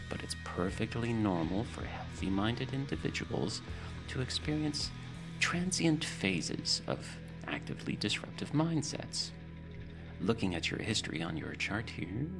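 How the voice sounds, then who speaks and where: A man's voice: low-pitched, creaky, exaggerated voice. A man playing a politely condescending, bureaucratic mental-care technician with sinister reassurance, in an ASMR roleplay. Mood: falsely soothing. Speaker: roleplay performer. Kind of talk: ASMR roleplay, soft clinical patter.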